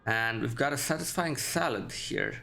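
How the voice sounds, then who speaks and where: A man's voice: medium pitch, expressive, moderate pace, creaky, pushed and bright, a little hiss or hum. A man giving casual commentary as he plays through a video game. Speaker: gamer, let's player. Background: game music.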